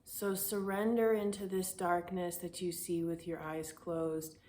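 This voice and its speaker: Woman, meditation guide, lowish pitch, very slow pace, soothing, deliberate phrasing. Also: level tone, a little mellow. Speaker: guided meditation and prayer host.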